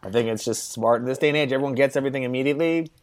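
Rambling, casual man producer. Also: mid-range voice. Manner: rambling, fast asides, casual swearing. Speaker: film producer guest. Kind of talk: casual podcast interview.

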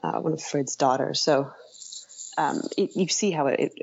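A woman speaking as an interview guest, hesitant and halting as she thinks aloud in casual conversation. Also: sits low, creaky, a little mellow, muffled recording, slight background noise. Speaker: interview guest, writer and author.

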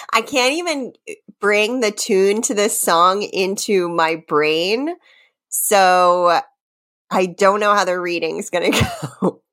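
A woman's voice: mid-range voice, lively intonation, slow pace, fairly bright tone. A woman co-hosting a comedy podcast, mixing playful dramatic readings with casual banter and often breaking into giggles. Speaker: podcast co-host.

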